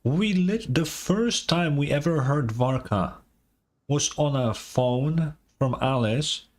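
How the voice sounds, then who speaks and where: A man's voice: a little high, slow. A man doing casual gaming livestream commentary in a sarcastic, mock-exasperated way, swearing freely. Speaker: streamer.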